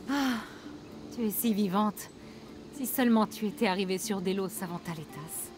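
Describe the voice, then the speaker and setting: Une actrice de doublage jouant une jeune cheffe rebelle audacieuse et passionnée dans un jeu vidéo. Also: female voice, medium pitch, normal speed, music in the background, close to an everyday voice. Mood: flirtatious. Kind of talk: dubbed video game acting.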